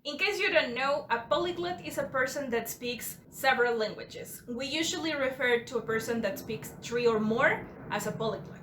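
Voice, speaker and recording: A woman's voice: high-pitched, normal speed, hardly any pauses, fairly bright tone, slight background noise. A woman whose first language is Spanish, hosting a casual drinking-game chat and laughing often between lines. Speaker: YouTube channel host. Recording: echoey room.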